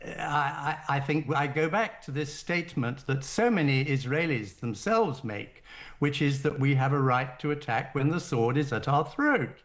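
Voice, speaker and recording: An older man speaking as a radio interview guest, setting out his points in a formal, deliberate way. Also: a little high, a bit bright. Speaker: guest, former diplomat.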